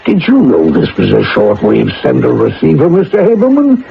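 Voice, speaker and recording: Dull old radio drama, polite insistent security agent, gravelly, lively intonation. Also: male voice, mid-range voice, moderate pace, heavily acted. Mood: surprised, suspicious. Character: polite but prying male FBI security guard. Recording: slightly noisy, muffled old radio recording.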